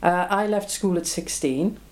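Low, mellow-voiced woman in her seventies. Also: measured pace, a little hiss or hum. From Belgium. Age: 70s. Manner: reflective, plain-spoken, frequent ums. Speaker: oral history interviewee. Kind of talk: oral history interview.

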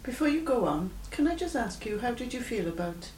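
A woman conducting an oral history interview, gently prompting with questions. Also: fairly high pitch, very animated, brisk. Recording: echoey room with faint hum.

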